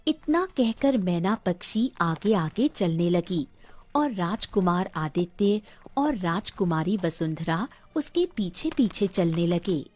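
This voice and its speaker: A woman's voice: fairly low pitch, expressive, slow, a little mellow, dry recording. A woman storyteller giving scripted narration of a fairy tale.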